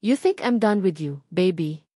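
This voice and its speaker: A woman voicing a dark, possessive male lover with a commanding, growling manner in a narrated romance story. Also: lowish voice, animated, measured pace, slightly rough, no room sound, clean studio sound. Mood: dominant, intense.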